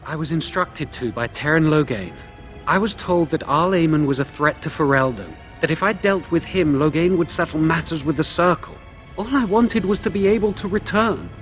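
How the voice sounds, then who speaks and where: A fairly high, youthful male voice from an actor plays an imprisoned mage in a fantasy game. He is earnest, anxious and weighed down by remorse, pleading to be believed. Faint music sits behind the dialogue. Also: normal speed, a bit bright, close to an everyday voice. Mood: anxious explaining. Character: anxious young male mage prisoner, guilt-ridden and pleading. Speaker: video game character.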